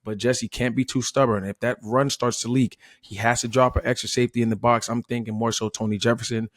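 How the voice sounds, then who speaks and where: A man's voice: medium pitch, level tone, brisk, no room sound, clean studio sound. A man from Florida giving conversational football analysis like a coach, emphatic and checking in with rhetorical questions. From Florida, United States. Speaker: former player turned football analyst.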